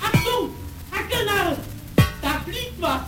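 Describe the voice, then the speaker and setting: A woman's voice: very high voice, animated, slow, full character voice. A woman playing a jeering, mischievous village brat in a radio play, chanting cruel taunts. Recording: echoey, distant, loud music behind.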